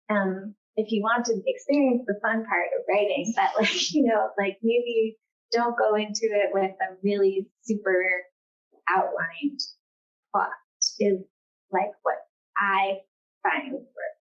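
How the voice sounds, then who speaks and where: A woman's voice: mid-range voice, steady tone, slow. Casting: American woman writer, informal and hesitant, heavy on "like", slightly muffled lo-fi event recording. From California, United States. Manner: informal, hesitant, frequent "like" as filler. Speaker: novelist, guest author. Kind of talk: casual unscripted answers at a literary event.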